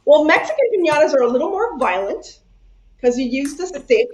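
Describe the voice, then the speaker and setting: A woman's voice: high voice, very animated, brisk, a little hiss or hum. A woman hosting a casual craft chat, talkative and rambling, laughing easily as she works. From Cuba. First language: Spanish. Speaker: craft channel host. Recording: echoey room, dull lo-fi sound.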